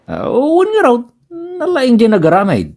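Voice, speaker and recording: Actor as flustered, stammering Ilocano husband, swooping pitch. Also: male voice, wildly swooping pitch, moderate pace, a little mellow, exaggerated voice. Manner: stammering, hemming and hawing, grunting exclamations. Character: henpecked, easily flustered married village man named Baldo. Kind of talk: Ilocano comedy radio drama acting.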